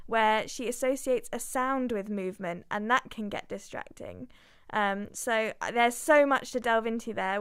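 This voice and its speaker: A young woman hosting a casual student radio show, chatting loosely with plenty of fillers and a relaxed, easygoing manner. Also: a little high, smooth, no echo, studio quality. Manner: chatty, filler-heavy, laughs through mishaps.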